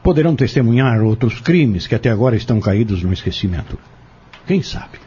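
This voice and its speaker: A man's voice: mid-range voice, lively intonation, a little fast, warm tone, highs cut off, slight background noise, natural voice. An actor in an audio drama playing an insistent, scheming man who goads and persuades in formal wording, bent on exposing a corrupt family.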